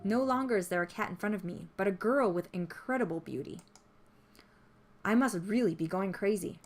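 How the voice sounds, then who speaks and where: A woman reading a story aloud as scripted narration, in an expressive storytelling manner. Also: medium pitch, normal speed, slight background noise. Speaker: visual novel player reading the text aloud. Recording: music behind the voice.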